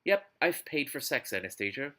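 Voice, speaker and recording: A man's voice: mid-range voice, sing-song, normal speed, pushed and bright. A man voicing a suave, teasing, commanding lover from a romance novel, smug and sardonic.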